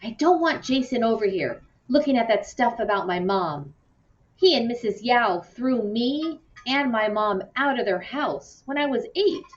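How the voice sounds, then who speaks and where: A woman's voice: on the high side, lively intonation, unhurried, voice pushed up. A woman voicing a hurt, indignant young girl from a storybook, urgent and aggrieved. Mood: urgent, upset. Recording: muffled, roomy, distant from mic.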